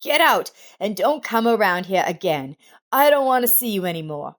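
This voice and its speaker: A woman's voice: mid-range voice, animated, normal speed, pitched above the performer's own voice, lightly acted. A woman voicing an angry, wounded, proud elderly grandmother in a narrated family drama story. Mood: furious. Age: older.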